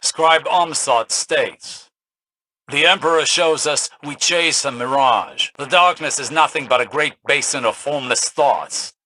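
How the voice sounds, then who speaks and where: A man's voice: a little high, a little slow, sharp tone, no echo, low-quality recording. An actor playing a grave, scholarly older male researcher in a video game, speaking formally and precisely. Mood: measured, analytical. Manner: formal, precise, clipped delivery. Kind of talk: scripted game dialogue.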